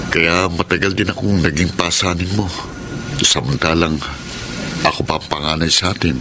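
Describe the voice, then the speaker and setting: A man acting in a Filipino radio drama as a humble, gruffly kind eldest brother. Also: deep voice, fairly even intonation, moderate pace, noisy background, lightly acted. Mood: regretful.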